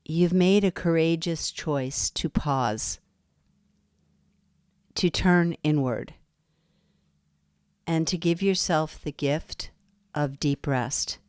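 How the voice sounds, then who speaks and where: A woman guiding a yoga nidra relaxation, speaking softly and soothingly with long pauses between phrases. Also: deep voice, clear, resonant tone, dry recording, clean studio sound. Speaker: meditation guide. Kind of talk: guided yoga nidra meditation.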